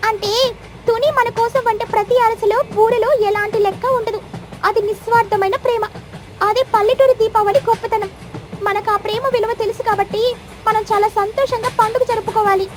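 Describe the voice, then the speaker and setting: A woman voicing a mother parrot in a cartoon, talking brightly to her son. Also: very high-pitched, fairly even intonation, moderate pace, voice pushed up. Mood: loving. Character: warm mother parrot from the city.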